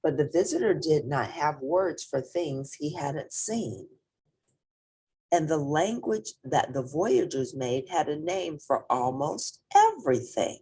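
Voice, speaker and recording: A woman reading a children's picture book aloud in a gentle, unhurried, expressive way. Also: sits low, breathy edge. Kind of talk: expressive storybook read-aloud.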